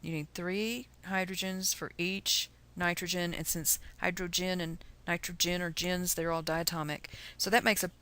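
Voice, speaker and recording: A woman's voice: fairly low pitch, little pitch movement, moderate pace, few pauses, bright, forceful tone, clear, resonant tone. A woman with a Texan accent giving an informal recorded lesson as a teacher, chatty and folksy as she explains. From Texas, USA. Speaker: chemistry teacher.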